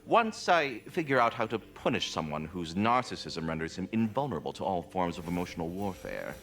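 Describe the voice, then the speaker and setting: A genteel old-world giant squirrel from a video game. The voice is bright and swings widely in pitch, with flowery, formal, wry courtesy. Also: male voice, big pitch swings, a little fast, heavily acted. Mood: theatrical, delighted. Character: genteel, theatrical giant immortal squirrel, keeper of a world tree. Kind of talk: video game character dialogue.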